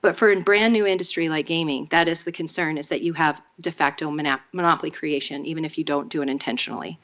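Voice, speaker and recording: A woman's voice: deep voice, fast talker, a bit bright. Nasal woman with a cold. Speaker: public commenter. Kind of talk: public comment at a council hearing. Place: council chamber. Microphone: podium microphone.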